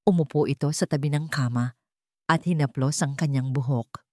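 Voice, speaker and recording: A woman with a Filipino accent reading a scripted love story in a measured, dramatic way, in short phrases. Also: low-pitched, dark tone, a little hiss or hum.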